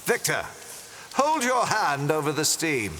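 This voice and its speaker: A man's voice: fairly high pitch, very animated, unhurried, sharp tone, a little hiss or hum, exaggerated voice. A man playing a smug, theatrical villain in an audio drama, pompous and gloating with mock courtesy. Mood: commanding, smug. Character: smug, theatrical male villain, wealthy treasure collector.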